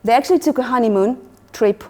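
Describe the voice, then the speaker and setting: A Moldovan woman in her forties with a Moldovan accent, telling stories as a guest in a casual interview, animated and laughing, with many restarts. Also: on the high side, fast.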